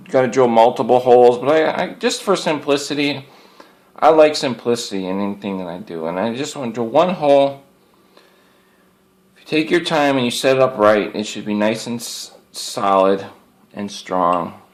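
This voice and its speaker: A man giving a casual, unscripted product review as a hobbyist, rambling in a folksy way and circling back over his points. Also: mid-range voice. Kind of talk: casual unscripted show-and-tell.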